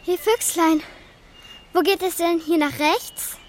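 Earnest little girl, high voice, direct, anxious yet brave, children's radio drama. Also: slow pace. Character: earnest, anxious, determined young girl on a rescue. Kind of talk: children's radio play acting.

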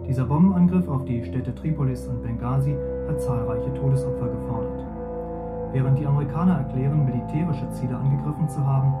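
An actor playing a sober late-night news anchor, reading formally. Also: male voice, mid-range voice, brisk, loud music behind the voice, natural voice.

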